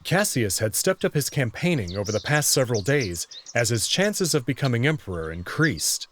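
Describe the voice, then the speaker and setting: A man's voice: mid-range voice, very animated, normal speed, a little hiss or hum. A man reading scripted first-person narration for a visual novel.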